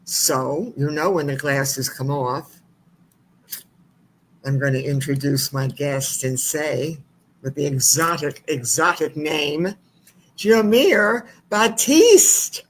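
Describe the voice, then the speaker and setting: A woman's voice: low voice, slow pace, slight background noise, poor audio. A woman hosting a talk show, introducing her guest at length with effusive praise and some hesitant fillers. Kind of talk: interview introduction.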